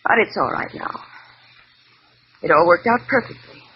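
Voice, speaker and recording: An American actress playing a cold-blooded, scheming murderess with intense theatrical delivery in a 1940s radio melodrama. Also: female voice, lowish voice, expressive, normal speed, lightly acted. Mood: coolly menacing. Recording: muffled, slightly noisy old radio recording.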